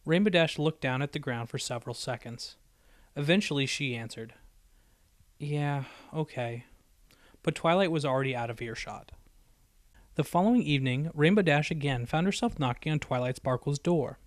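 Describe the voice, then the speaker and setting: A man's voice: a little high, lively intonation, fairly quick, no room sound, studio quality. A man reading a story aloud as an audiobook narrator. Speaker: audiobook reader.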